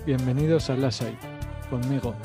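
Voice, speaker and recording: A man with a Basque Spanish accent hosting a casual interview podcast, self-deprecating and rambling with pauses. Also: mid-range voice, loud music behind the voice. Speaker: podcast host.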